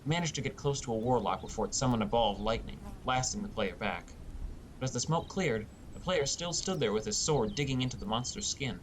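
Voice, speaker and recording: A man narrates a first-person fantasy audiobook in a mid-pitched voice. There is faint rumbling noise in the recording. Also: animated, fairly quick, low-quality recording.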